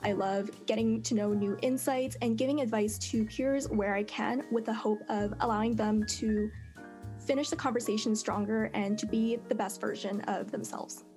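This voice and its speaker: A young woman, a fourth-year student, speaking in a polished, measured, carefully worded way as a panel guest. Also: on the high side, steady tone, a little mellow, soft background music. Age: young adult. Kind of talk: prepared self-introduction on a panel.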